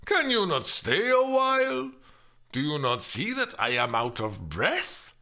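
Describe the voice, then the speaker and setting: A man's voice: medium pitch, huge pitch swings, unhurried, pushed and bright, voice pushed up, heavily acted. A man voicing a large woman who reads the Nurse's lines, groaning and complaining, drawn out and weary.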